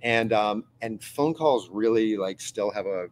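A man's voice: fairly low pitch, measured pace, a little hiss or hum. A middle-aged man, a magazine editor, telling an anecdote on a panel in a meeting room, full of fillers and acted-out quotes, heard from a camera across the room. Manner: storytelling, acts out quoted voices, many fillers.

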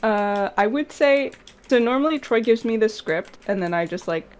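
A woman guest on a video call, slightly hoarse, explaining her work in a loose, rambling way with soft laughs. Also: a little high, animated, moderate pace. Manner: rambling, many fillers, laughs softly. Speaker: guest comic artist. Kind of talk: casual interview answers on a video call.

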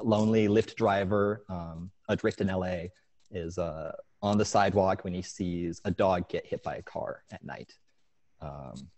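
A young man with a low-pitched voice speaks as an author at an online story event. He is at home on a video call, talking into an earphone mic. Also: darkish tone. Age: young adult. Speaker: author reading from his short story. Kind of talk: informal talk and story reading at an online event. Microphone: wired earphone mic on a video call.

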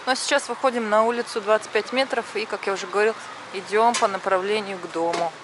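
A woman's voice: medium pitch, fairly even intonation. A woman in her thirties talking to a handheld camera while walking, drawing out her words and stressing final syllables. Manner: chatty, drawn-out vowels, stress on last syllables. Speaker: vlogger. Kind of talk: walking vlog narration. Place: city street at night, outdoors. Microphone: action camera at arm's length. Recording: street noise outdoors.

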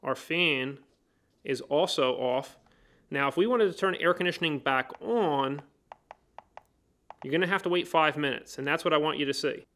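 A man's voice: on the high side, moderate pace, pauses often, bright, forceful tone, a little hiss or hum. A man teaching HVAC basics, explaining each step plainly and working through a hands-on bench demonstration. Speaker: HVAC trainer. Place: indoor workbench.

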